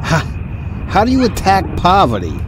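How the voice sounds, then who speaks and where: A man's voice: high-pitched, wildly swooping pitch, normal speed, noisy background. An actor playing a bewildered, hesitant airman who stammers, in a satirical radio play. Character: bewildered, hesitant airman doubting his mission.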